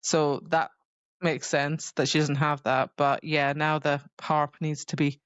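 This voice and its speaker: A woman's voice: deep voice, flat delivery, a little slow, no echo. A woman co-hosting a podcast in casual chat about a book, on a slightly muffled recording. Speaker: podcast co-host. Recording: dull, muffled close recording.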